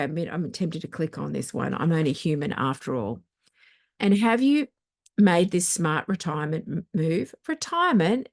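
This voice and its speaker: A woman with a slightly low voice reads from a book as a storytime host. Her delivery is expressive and conversational, with wry turns on the questions she reads. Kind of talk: expressive book reading with casual asides.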